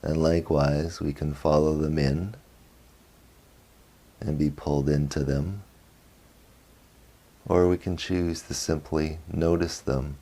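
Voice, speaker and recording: A man leading a guided meditation, speaking softly in short phrases with long pauses between them. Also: rumbling low, flat delivery. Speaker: meditation teacher.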